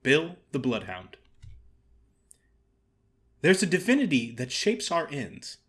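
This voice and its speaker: Guy reading an audiobook, kinda high voice, super animated, wry theatrical storyteller. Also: slow. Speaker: story reader.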